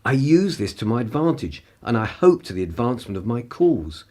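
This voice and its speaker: Radio drama: male actor as a superior, indignant upper-class gentleman, slightly low and lively, roughened tone. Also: brisk. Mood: defensive, earnest. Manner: articulate, pompous, superior. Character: indignant, haughty upper-class male political radical and spy. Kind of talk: radio play acting.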